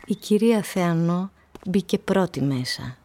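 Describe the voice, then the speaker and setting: A woman narrator reading scripted literary prose for a radio play in a measured, storytelling manner. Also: fairly low pitch, animated, darkish tone.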